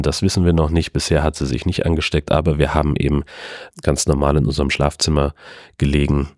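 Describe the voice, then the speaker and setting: A man's voice: rumbling low, a little mellow, dry recording, clean studio sound. A man hosting a solo podcast from home, telling stories in a rambling, chatty way with wry asides. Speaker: podcast host. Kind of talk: casual solo podcast monologue.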